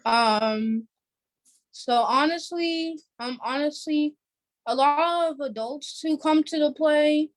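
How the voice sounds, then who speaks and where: A woman's voice: sits high, slow, rough recording. A female interview guest chatting casually and hesitantly, searching for words. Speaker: young cast member, interview guest. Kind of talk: casual chat.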